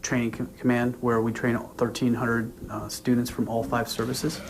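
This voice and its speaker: A man in his forties, born in Vietnam, a naval commander giving a news interview in an earnest, halting way with frequent restarts. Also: mid-range voice, little pitch movement.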